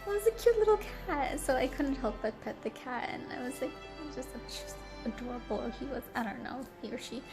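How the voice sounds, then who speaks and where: A woman's voice: sits high, sing-song, brisk, music in the background. A young woman with a stuffy, congested nasal voice, chatting casually in a travel vlog, rambling and giggly with lots of fillers. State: congested from allergies. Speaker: travel vlogger. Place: hotel room.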